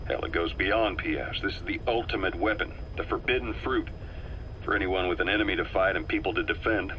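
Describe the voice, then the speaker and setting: A shrewd intelligence officer from a war game, speaking in a deep voice with a bright, forceful edge. His delivery is measured and persuasive, with a dry sardonic streak. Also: slight background noise, low-quality recording. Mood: analytical. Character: shrewd male intelligence officer, calm and knowing. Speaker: advisor on a recorded tape. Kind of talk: video game voice acting.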